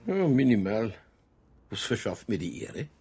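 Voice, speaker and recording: A man playing a stubborn, sardonic homicide chief in a radio crime drama, with a Bavarian accent, a gravelly, husky voice and deadpan delivery. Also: lowish voice, measured pace, full character voice.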